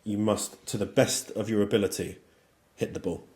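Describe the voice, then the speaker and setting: A man with a croaky voice from a cold, a guest giving direct, firm opinions in a casual podcast chat. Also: fairly low pitch, steady tone, normal speed, a little mellow.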